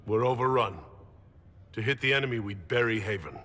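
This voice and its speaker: An actor playing a selfless, decisive hero and leader in a fantasy game. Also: male voice, mid-range voice, brisk, a bit bright, long reverb tail, natural voice. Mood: urgent.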